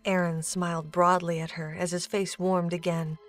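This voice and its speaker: A woman's voice: on the low side, level tone, normal speed, soft background music. A woman giving scripted narration for a storybook-style audio drama.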